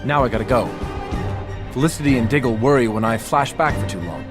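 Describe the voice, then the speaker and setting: An actor playing a young, self-serious vigilante archer and island survivor, narrating a video game with dramatic, wry storytelling. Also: male voice, medium pitch, animated, fast talker, over music, lightly acted. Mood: emphatic, self-important.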